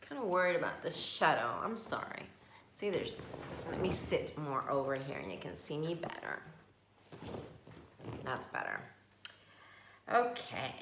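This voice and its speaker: A woman reading a picture book aloud to young children, slow and expressive, stopping to ask questions. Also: lowish voice. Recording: echoey room.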